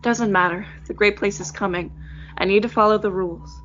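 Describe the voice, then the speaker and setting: A woman's voice: medium pitch, steady tone, a little fast, a bit bright, natural voice. Woman as an anxious pupil, dull lo-fi sound. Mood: tense self-calming. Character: anxious pupil clinging to rules. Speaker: actor. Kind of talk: horror audio drama acting. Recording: dull lo-fi sound over music.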